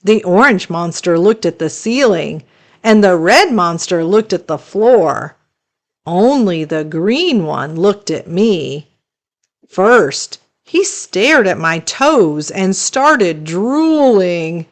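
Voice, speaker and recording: A woman narrating a children's story as a read-aloud host, expressive and deliberate. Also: lowish voice.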